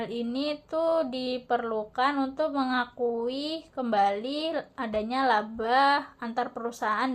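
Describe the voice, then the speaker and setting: A young adult woman giving an explanatory class presentation as a student, with a halting, hesitant delivery. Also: fairly high pitch.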